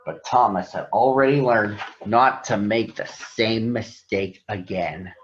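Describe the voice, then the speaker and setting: A man's voice: lowish voice, slow pace. A man narrating a children's story to young listeners as a storybook reader. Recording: roomy echo, dull sound.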